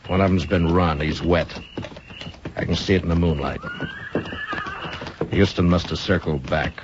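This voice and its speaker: An actor playing a steady, commanding western lawman in 1950s radio drama style, giving terse, clipped orders. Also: low voice, moderate pace, lightly acted. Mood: watchful, low. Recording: noisy, dull old radio recording.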